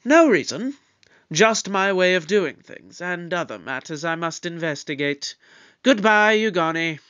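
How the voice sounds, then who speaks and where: A man playing a shrewd, measured investigator in an audio drama, speaking formally and deliberately. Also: sits high, animated, fairly bright tone, clear, resonant tone. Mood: firm, probing. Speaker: investigator questioning suspects. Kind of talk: fan audio drama acting.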